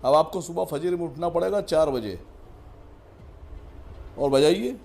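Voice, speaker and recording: A man's voice: a little high, a little slow, vocal fry, darkish tone. A man giving a sardonic rebuttal as a panelist in a television debate, with mock praise. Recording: faint background noise.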